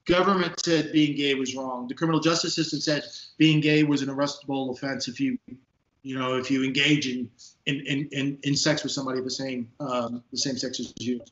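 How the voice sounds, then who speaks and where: A man in his fifties from Texas, a police sergeant, speaking as an interview guest in earnest, emphatic talk with a big booming voice, recorded on a video call from an office. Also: steady tone, a little fast, a bit bright. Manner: emphatic, repeats words when stressing a point. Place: office at a desk. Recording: echoey, dull video call audio.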